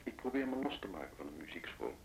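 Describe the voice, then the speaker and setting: An actor playing a measured, worldly former official giving advice in a radio play. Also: male voice, lowish voice, big pitch swings, creaky, noisy background. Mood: grave, warning. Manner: deliberate, advisory. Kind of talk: Dutch radio play acting.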